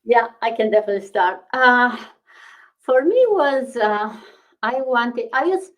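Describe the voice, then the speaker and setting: A woman's voice: a little high, lively intonation, unhurried. A middle-aged woman from Romania speaking casually as an interview guest, telling her own story.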